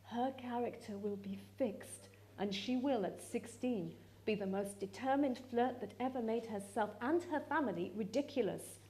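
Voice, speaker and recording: An earnest young gentlewoman, acted by a woman reader, pleading with her father. She is indignant and urgent, stressing her words with articulate care, as in a period storybook read aloud. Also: a little high, normal speed, natural voice.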